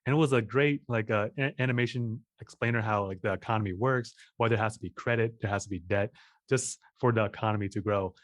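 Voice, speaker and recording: A man's voice: lowish voice, fast, no echo, clean studio sound. A man hosting a personal finance interview, asking questions in a casual way with many fillers. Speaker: host.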